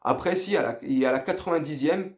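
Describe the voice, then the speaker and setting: A man's voice: on the high side, brisk, a little mellow. Informal, hesitant man giving a football debrief. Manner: informal, slangy, hesitant and rambling; underwhelmed, lacking enthusiasm. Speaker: football fan vlogger. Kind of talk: casual post-match debrief to viewers.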